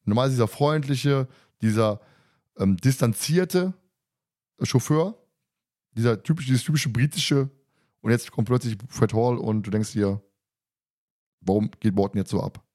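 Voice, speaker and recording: Male podcast co-host, mid-pitched voice, loose colloquial delivery with a teasing streak. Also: unhurried, pauses often, a little mellow. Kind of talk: casual discussion of an audio drama.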